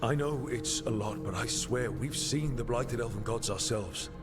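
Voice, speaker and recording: An actor playing a wry, resolute young hero in a fantasy game, talking in a dry, confident, casual way. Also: male voice, medium pitch, normal speed, music in the background, close to an everyday voice. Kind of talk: fantasy video game dialogue.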